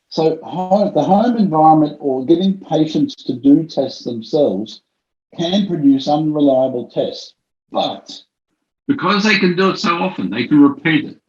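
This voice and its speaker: A vision scientist telling a story in a conversational interview, with frequent ums and restarts. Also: male voice, on the high side, unhurried, darkish tone, reverberant, muffled recording.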